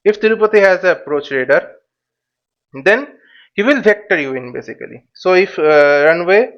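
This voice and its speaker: Guy w/ pretty high voice explaining stuff step by step, kinda halting, repeats himself, muffled cheap mic. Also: animated. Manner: halting, repeats words and phrases. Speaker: flight simulation instructor. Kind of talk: informal spoken tutorial, explaining step by step. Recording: dull, lo-fi microphone sound.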